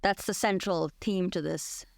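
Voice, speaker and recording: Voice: medium pitch, fairly even intonation, unhurried, creaky, no room sound, studio quality. A radio host who leads a thoughtful, conversational discussion.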